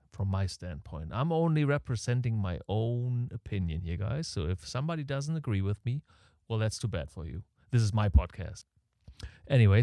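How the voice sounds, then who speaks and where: A man's voice: medium pitch, dark tone, no echo, clean studio sound. A man with a German accent hosting his own podcast, rambling and opinionated, with his breathing audible on the mic. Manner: rambling, opinionated, self-deprecating. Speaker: podcast host. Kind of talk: casual solo podcast monologue. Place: home setup in Canada. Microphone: close podcast microphone.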